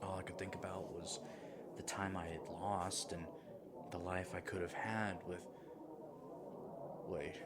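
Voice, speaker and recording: A man performing an audio roleplay monologue, earnest and reflective, sometimes dropping to a hushed whisper. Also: low-pitched, flat delivery, measured pace, pauses often, heavy background noise.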